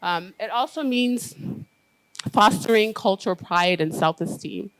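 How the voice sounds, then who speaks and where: A woman with an American accent speaking earnestly as a panelist at an education conference. She talks in steady, thoughtful stretches with frequent "um" fillers. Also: mid-range voice, unhurried, rough recording. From United States.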